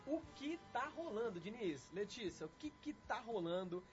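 A man with a Brazilian accent hosting a radio show in lively chat, speaking directly to the listeners. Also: sits high, moderate pace. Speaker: radio host. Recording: muffled band-limited sound over loud music. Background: music.

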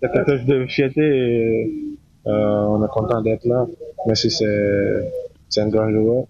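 A football player speaking in a short street interview, halting and plain. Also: male voice, on the low side, steady tone, highs cut off.

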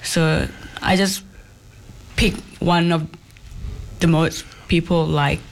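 Halting chef speaking non-native English. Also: male voice, on the high side, steady tone, gravelly. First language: Thai. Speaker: chef guest. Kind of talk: radio interview.

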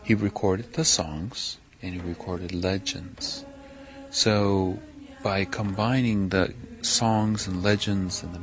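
A man in a documentary interview, giving a thoughtful, halting explanation of history. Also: low voice.

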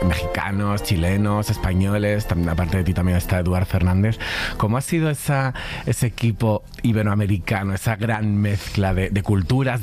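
Low-pitched male interviewer with Spanish accent. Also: a little mellow. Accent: Spain. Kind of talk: casual interview questions.

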